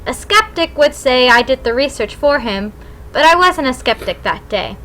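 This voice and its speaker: A woman's voice: high voice, lively intonation, normal speed, sharp tone. A woman narrating a novel in the first person as a scripted audiobook reading.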